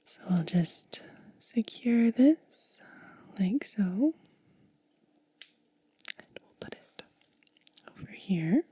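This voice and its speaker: A woman doing a whispered ASMR unboxing, breathy and gentle, lingering over her words close to the microphone. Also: mid-range voice, lively intonation, very slow, pauses often. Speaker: ASMR host.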